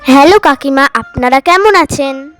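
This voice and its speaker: একজন নারী বাচ্চাদের কার্টুন গল্পে এক সাবধানী, বিরক্ত স্কুলপড়ুয়া বান্ধবীর চরিত্রে কণ্ঠ দিচ্ছেন।. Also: female voice, high-pitched, expressive, moderate pace, fairly bright tone, soft background music. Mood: friendly. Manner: scolding, sensible, childlike. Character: cautious, exasperated schoolgirl, best friend. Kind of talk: children's cartoon horror story acting.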